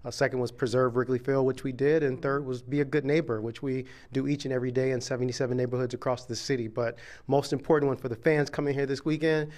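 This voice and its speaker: Relaxed middle-aged man being interviewed. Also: medium pitch, quick pace, few pauses. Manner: relaxed, reflective, with fillers. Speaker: sports team executive interviewed. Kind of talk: live TV interview. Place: hotel convention room, indoors. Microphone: reporter's handheld mic.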